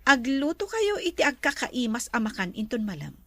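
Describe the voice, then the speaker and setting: An actress playing a lonely, pampered rich young mistress of a mansion in an Ilocano radio drama. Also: female voice, on the high side, big pitch swings, normal speed, sharp tone, dry recording, heavily acted. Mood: bossy, breezy.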